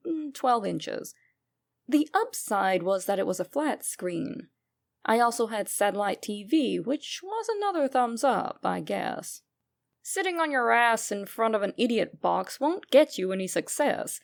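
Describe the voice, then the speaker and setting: Female narrator for creepy internet fiction: noticeable vocal fry, emphatic and wry storytelling. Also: medium pitch. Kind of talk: dramatic first-person horror story reading.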